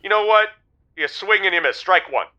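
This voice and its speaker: Sharp-voiced, flippant male bachelor caller. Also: high-pitched, lively intonation, moderate pace, exaggerated voice. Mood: unfazed. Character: smooth-talking, shameless lovelorn bachelor on a dating show. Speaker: radio show dating contestant. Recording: dull, lo-fi call-in line.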